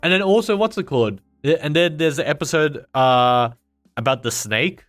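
Guy hosting a chill podcast, teasing and laughing a lot, voice jumps all over, faint music under it. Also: normal speed. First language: not Chinese. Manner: teasing, laughs often, lively swings in pitch. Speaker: podcast host. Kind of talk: casual chat.

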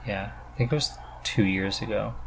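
A man in his thirties with a husky voice, chatting casually as the host of a podcast. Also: lowish voice, moderate pace, a loud crowd. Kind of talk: casual chat about books.

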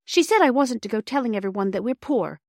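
Woman as a young girl, higher-pitched, earnest, polite, hesitant, eager, storybook dialogue. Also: animated, fast talker, darkish tone, dry recording, clean studio sound.

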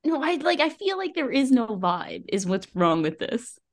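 A woman with a slightly high voice speaks as a podcast co-host in informal book talk. She is blunt and opinionated, phrasing her takes in a joking, conversational way. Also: sing-song, moderate pace. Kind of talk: casual book chat.

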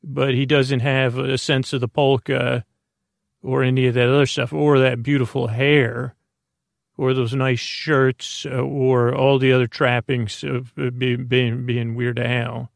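A man with a creaky, gravelly voice hosts a sleep podcast. He meanders through a loose monologue, stopping, repeating himself and drifting off on tangents. The sound is close and dry. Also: mid-range voice, little pitch movement, no echo. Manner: halting, digressive, repeats and trails off. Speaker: host of a bedtime sleep podcast.

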